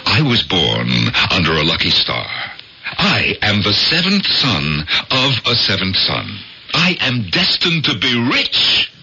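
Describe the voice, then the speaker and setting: Wildly animated, penniless dreamer. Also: male voice, on the low side, slow pace, husky, exaggerated voice. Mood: dreamy, boastful. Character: penniless, defiant, fatalistic male dreamer. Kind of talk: old-time radio drama acting. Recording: dull lo-fi radio broadcast.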